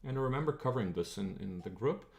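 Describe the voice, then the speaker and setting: A man's voice: medium pitch, lively intonation, quick pace, gravelly, slight background noise. A young man casually teaching old Russian letters on a livestream, thinking aloud and switching between English and Russian words.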